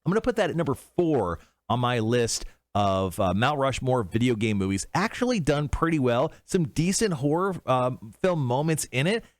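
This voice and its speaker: A man's voice: mid-range voice, big pitch swings, moderate pace, dry recording, studio quality. A man co-hosting a casual entertainment talk show, often thinking aloud as he goes. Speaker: show co-host.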